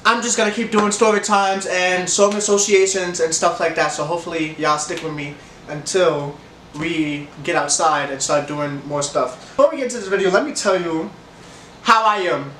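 A man's voice: high voice, moderate pace, slight background noise. Stuffy-nosed young man telling stories in an echoey room. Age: young adult. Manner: rambling, animated, laughs often, hand gestures. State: stuffy nose. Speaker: vlogger. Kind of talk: casual story time. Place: room with leather couch, indoors. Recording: echoey room, camera a bit far.

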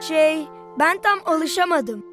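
A woman voicing a curious, eager little child in a children's cartoon, with an expressive, inquisitive delivery. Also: sits high, takes it slowly. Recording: music under the voice.

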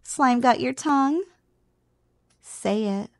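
Woman acting a teasing, high-voiced slime girl. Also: slow, gravelly, no echo, studio quality, heavily acted. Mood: playful teasing. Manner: playful, coaxing, intimate address to the listener. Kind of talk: ASMR roleplay.

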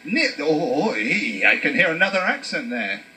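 A man's voice: a little high, sing-song, moderate pace, pushed and bright, a little hiss or hum. A man hosting a stage show as emcee, upbeat and coaxing, rousing the crowd into a handheld microphone. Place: cruise ship show stage. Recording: band music behind the voice. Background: live band music.

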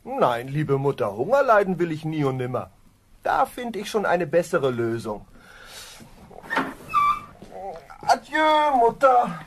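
An actor playing a lazy, naive young peasant son in a fairy-tale audio drama, with a carefree, drawling delivery. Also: male voice, fairly high pitch, wildly swooping pitch, unhurried, a little hiss or hum.

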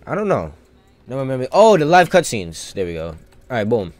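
A male video game streamer gives casual live commentary at a middling pitch. He talks in loose slang, thinking out loud and wandering from one thought to the next. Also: big pitch swings, brisk, no room sound, faint music behind.

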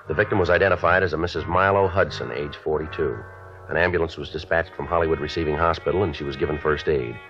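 A very low-voiced American police detective in a vintage radio crime drama. He talks quickly in short, flat, official phrases, giving nothing away. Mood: matter-of-fact. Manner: deadpan, clipped, matter-of-fact. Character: terse, businesslike male police sergeant detective. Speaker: lead actor and narrator. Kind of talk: 1950s radio police drama, clipped narration and dialogue. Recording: dull vintage radio recording with music.

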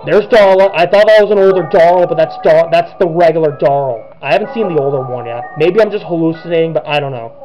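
A young man talks through a game in a nervous, rambling way, restarting his sentences and filling pauses. His voice sits fairly high and cracks now and then. The recording sounds dull and lo-fi, with game music playing behind him. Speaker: gamer. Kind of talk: let's play commentary.

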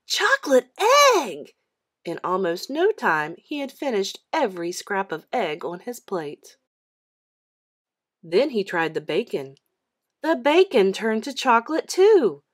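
A woman reads a children's story aloud as narrator. Her mid-pitched voice moves expressively up and down through the sentences. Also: sing-song, measured pace.

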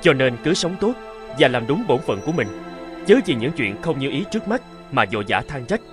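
A man's voice: mid-range voice, big pitch swings, a little fast, close to an everyday voice. A man voicing a humble, earnest young pilgrim in a children's cartoon, speaking politely and deferentially. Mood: respectful. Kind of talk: dubbed children's cartoon acting. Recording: prominent music under the voice.

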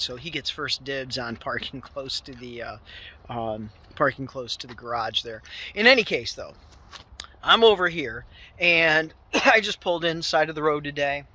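A man's voice: medium pitch, pushed and bright. A man in his fifties, a part-time contractor, rambling and chuckling at himself as he films a casual walk-through of a tool trailer at night, holding a phone camera at arm's length inside the small trailer. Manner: rambling, chuckling, self-deprecating. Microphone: phone camera held at arm's length.

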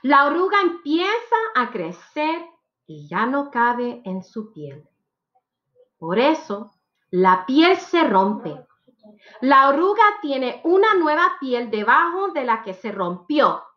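Woman, 30s, teacher read-aloud: high, animated voice, deliberate phrasing, slightly muffled lo-fi home recording. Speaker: teacher reading picture books aloud. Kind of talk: slow, expressive read-aloud for children.

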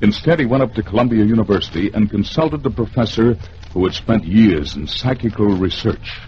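A man with an American accent narrating a suspenseful 1950s radio drama in theatrical, measured phrases. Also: fairly low pitch, animated. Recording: noisy, dull vintage radio recording.

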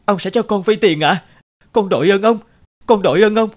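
A man voicing a poor, anxious peasant in an animated folk tale, humble and pleading. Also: sits high, moderate pace, close to an everyday voice. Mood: grateful. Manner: pleading, deferential.

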